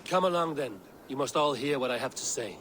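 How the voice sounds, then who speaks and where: A man's voice: mid-range voice, lively intonation, brisk, fairly bright tone, slight background noise, natural voice. A man playing a stern, weary Norse god-king in a dramatic video game, speaking with portentous authority. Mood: grave.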